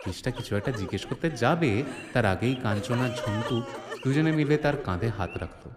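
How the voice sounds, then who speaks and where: A man's voice: mid-range voice, lively intonation, normal speed. A man narrating an audio drama as a suspenseful, theatrical storyteller. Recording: echoey, long reverb, music behind. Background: music.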